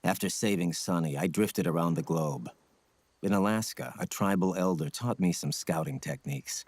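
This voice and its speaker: Low, husky, solemn ninja mentor. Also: male voice, a little mellow, no echo. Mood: grave, instructive. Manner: measured, grave, instructive. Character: solemn, stoic male ninja scout mentor. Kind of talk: dramatic video game acting.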